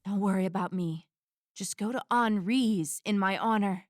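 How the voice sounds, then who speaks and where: A woman's voice: mid-range voice, moderate pace, no room sound, clean studio sound, close to an everyday voice. A woman acting a defiant, wisecracking captive fugitive in an audio drama, casual and sarcastic. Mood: urgent.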